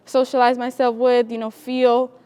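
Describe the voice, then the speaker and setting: A young adult woman from the Dominican Republic, a student panelist, speaking in a soft, reflective, thinking-aloud way. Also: on the high side, little pitch movement, normal speed, clean tone. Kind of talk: casual panel conversation.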